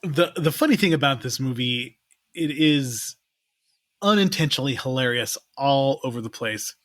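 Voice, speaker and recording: A man's voice: mid-range voice, moderate pace, no echo, studio quality. A middle-aged man co-hosting a podcast in casual chat, laughing often as he talks. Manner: laughs often, animated and giggly. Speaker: podcast co-host.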